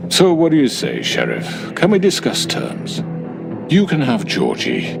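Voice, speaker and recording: A man's voice: mid-range voice, huge pitch swings, measured pace, heavily acted. The Crooked Man, a silky crime boss. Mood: menacing. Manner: polished, smooth and coldly courteous. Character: calm, menacing middle-aged male crime boss. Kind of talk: video game voice acting. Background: game music.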